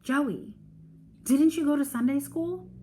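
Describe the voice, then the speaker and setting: A woman's voice: high voice, takes it slowly, dark tone, a little hiss or hum, pitched above the performer's own voice. Bewildered young boy, read by a woman, storybook character, earnest, hesitant, shaken.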